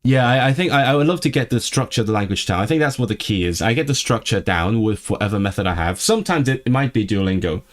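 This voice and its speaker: A man's voice: fairly low pitch, expressive, fast talker, few pauses. A man who is not a native English speaker, hosting a casual livestream and chatting with his viewers as he reads and answers the live chat. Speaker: livestream host.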